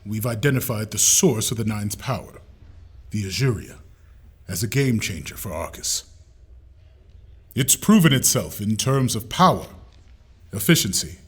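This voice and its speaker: A man's voice: fairly low pitch, expressive, a little slow, long pauses, husky. A man playing an admiral who leads a classified summit in a clipped, businesslike tone. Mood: brisk. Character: brisk male admiral leading a secret summit.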